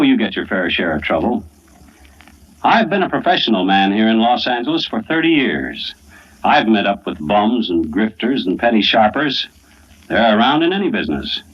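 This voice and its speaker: An actor playing a world-weary, down-to-earth middle-aged husband in a 1940s radio suspense play, speaking in a plain, folksy way on an old recording. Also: male voice, fairly low pitch, fairly bright tone, breathy edge, dull audio, exaggerated voice. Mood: grim. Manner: plain-spoken, folksy narration and dialogue.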